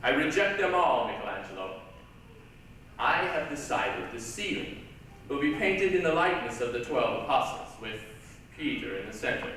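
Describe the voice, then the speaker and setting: A man playing an imperious, impatient high churchman in a stage reading, with a pompous, commanding, clipped delivery. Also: big pitch swings, normal speed, a bit bright. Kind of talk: theatrical readers' theatre dialogue. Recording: echoey hall, distant mic, hissy old tape.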